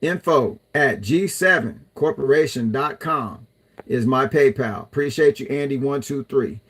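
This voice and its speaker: A man's voice: medium pitch, unhurried, a bit bright. Casual male streamer, answering chat in a rambling way. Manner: chatty, loose, talks to viewers as y'all. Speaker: livestream host reading chat.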